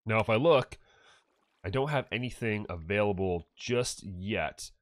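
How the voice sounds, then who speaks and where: A man's voice: lowish voice, animated, measured pace, dry recording. A man with a stuffed-up nose, casually commenting on his own gameplay in a rambling, chatty way. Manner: chatty, rambling, self-correcting. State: stuffed up with allergies. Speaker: gaming channel host.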